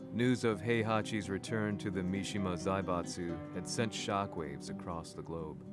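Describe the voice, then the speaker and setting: An actor playing a world-weary reporter who narrates his memories in a brooding, measured way for a video game story. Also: deep voice. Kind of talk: scripted first-person game narration.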